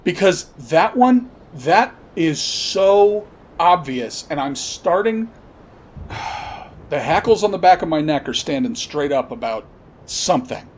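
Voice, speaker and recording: A man hosting a political commentary podcast, talking off the cuff in a blunt, profane, emphatic way with halting, repeated phrases. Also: fairly high pitch, sing-song. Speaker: podcast host. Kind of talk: unscripted political commentary rant.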